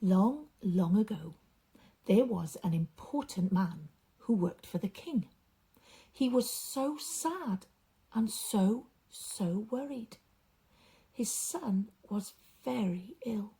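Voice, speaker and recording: A woman reading a storybook aloud for young children, with dramatic emphasis and drawn-out repeated words. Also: mid-range voice, animated, warm tone, husky.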